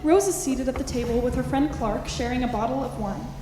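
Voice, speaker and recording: Female stage-directions reader, high voice, scripted delivery, echoey space. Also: a little fast, noisy background. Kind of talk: scripted narration at a staged reading. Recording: echoey room, loud piano behind. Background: loud piano.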